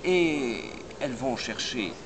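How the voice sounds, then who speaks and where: An older man heading a recruitment firm, answering interview questions in a measured way with many hesitations and restarts. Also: mid-range voice, lively intonation, a crowd in the background. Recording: loud background noise.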